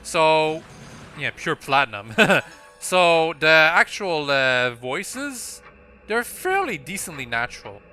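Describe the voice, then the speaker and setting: A man's voice: on the high side, sing-song, slow. A man giving casual, explanatory commentary on a video game, with some hesitation as he goes. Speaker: let's-play commentator. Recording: loud game music under the voice. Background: loud game music.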